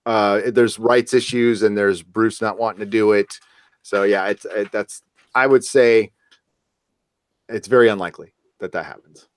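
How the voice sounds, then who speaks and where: A man's voice: lowish voice, steady tone, moderate pace, no room sound. Casual joking man, dry close sound. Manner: joking, loose. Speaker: podcast host. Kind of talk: casual chat, reading viewer comments.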